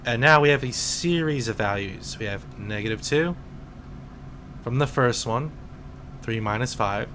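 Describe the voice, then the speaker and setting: A man in his twenties, a teacher, calmly explaining programming in a casual screen-recorded tutorial with some fillers. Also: mid-range voice, slight background noise. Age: 20s. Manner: slow, relaxed explaining with fillers.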